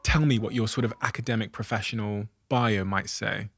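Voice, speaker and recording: A man's voice: on the low side, fairly even intonation, a little fast, a bit bright, husky, dry recording. A man hosting a podcast, asking probing questions in a conversational interview style.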